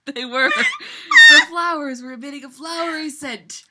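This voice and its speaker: A woman's voice: high voice, huge pitch swings, unhurried, very noisy. A woman giving a giggly, theatrical, mock-dramatic reading of fan fiction to friends. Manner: theatrical, giggly, often breaking up.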